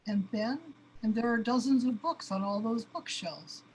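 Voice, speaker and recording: A high, anguished voice from a woman actor plays an overwhelmed cosmic being in a radio drama. The being panics and questions everything around it. The recording carries noticeable background noise. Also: normal speed, close to an everyday voice, rough recording. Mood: troubled. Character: overwhelmed, panicky cosmic being trapped in its own space body. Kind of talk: dramatic radio play acting.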